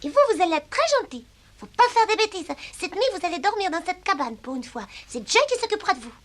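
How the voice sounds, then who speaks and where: A woman's voice: extremely high pitch, lively intonation, quick pace, slight background noise. An actress voicing a confident, helpful young boy with a matter-of-fact, instructing manner in a French cartoon dub. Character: confident, helpful young boy who cares for bear cubs. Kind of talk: dubbed cartoon acting in French.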